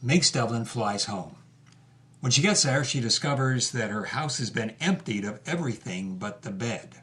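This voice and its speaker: An actor as a plain-spoken narrator, reading scripted present-tense narration for an audio drama. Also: male voice, mid-range voice, lively intonation, moderate pace, fairly bright tone, recorded from a distance, natural voice. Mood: matter-of-fact.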